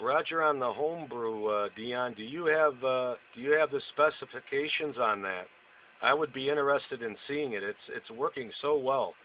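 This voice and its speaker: A man's voice: mid-range voice, normal speed. Man chatting over noisy radio. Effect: two-way radio transmission. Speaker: amateur radio operator. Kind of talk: casual radio contact chat. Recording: noisy, muffled radio audio.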